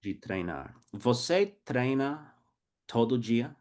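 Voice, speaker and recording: A man acting as host and teacher, asking slow, simple questions in a casual oral interview. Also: medium pitch, expressive, a little mellow.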